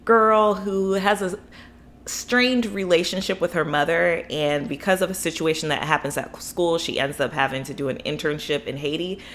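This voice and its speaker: A young woman vlogger with a low voice rambles happily through her book picks, full of "um" and "you know." A faint hiss sits under her casual talk. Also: fairly bright tone. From Florida, USA. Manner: chatty, rambling, enthusiastic, many fillers. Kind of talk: casual book review vlog.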